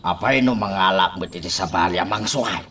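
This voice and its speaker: A man playing a grumbling, excitable boss in an Ilocano radio drama. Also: low-pitched, brisk, slight background noise. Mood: grumbling, protesting.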